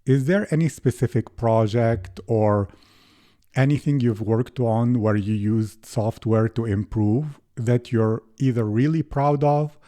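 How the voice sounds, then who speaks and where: Slow, mellow-voiced male podcast host. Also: mid-range voice. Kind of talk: scripted intro then interview questions.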